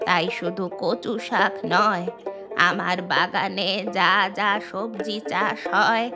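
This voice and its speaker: A woman voicing an old village grandmother in a cartoon, speaking slowly and earnestly, with emphasis. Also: medium pitch, steady tone, music in the background. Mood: emphatic, generous. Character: stern old village grandmother turned remorseful and generous. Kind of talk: cartoon story dialogue.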